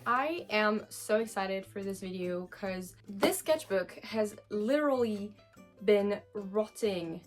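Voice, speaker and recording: A woman's voice: medium pitch, animated, takes it slowly, soft background music. Young woman, French first language, bubbly casual chat, lots of fillers, art vlog voice-over. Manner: bubbly, chatty, with many fillers and restarts. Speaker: art vlogger.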